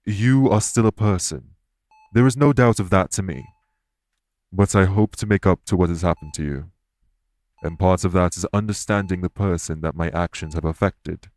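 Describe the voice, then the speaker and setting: An actor playing a courteous, remorseful immortal elven king in an audio roleplay, speaking in formal, measured phrases. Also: deep voice, darkish tone, dry recording, natural voice. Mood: calm, earnest. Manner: formal, measured, stately wording. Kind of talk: scripted audio roleplay acting.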